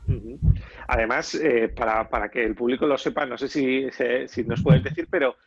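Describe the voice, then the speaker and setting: A man's voice: mid-range voice, normal speed, husky. Male interviewer on a dull line. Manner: polite, hesitant questioning.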